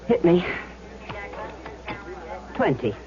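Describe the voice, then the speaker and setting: Flustered, swooping-voiced reluctant accomplice. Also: female voice, on the low side, sing-song, extremely slow, mellow, soft background music, heavily acted. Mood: nervous. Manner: flustered, plain-spoken, slangy. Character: bewildered, hesitant woman, a reluctant fake medium. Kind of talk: 1970s radio drama acting. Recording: muffled old radio broadcast recording.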